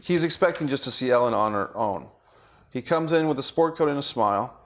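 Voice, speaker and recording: A man's voice: medium pitch, expressive, a little fast, a bit bright. A man working as a director, reading stage directions aloud and coaching his actors. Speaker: director leading a table read.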